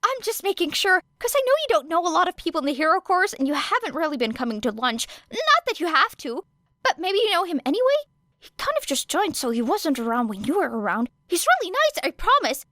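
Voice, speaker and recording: A woman's voice: very high-pitched, very animated, fairly quick, bright, forceful tone, dry recording, clean studio sound, pitched above the performer's own voice, heavily acted. Woman voicing a flustered rambling teen boy. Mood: eager. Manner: eager, apologetic rambling, tripping over himself. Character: nervous rambling teenage boy hero student. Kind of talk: audiobook character voice.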